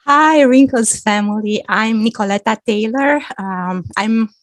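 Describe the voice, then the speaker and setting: A woman in her fifties from Romania, speaking with a Romanian accent as a guest on a video-call chat, thoughtful and quick to laugh. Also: fairly high pitch, no echo. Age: 50s. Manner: slow, thoughtful, laughs often. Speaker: guest author. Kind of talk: casual video-call interview.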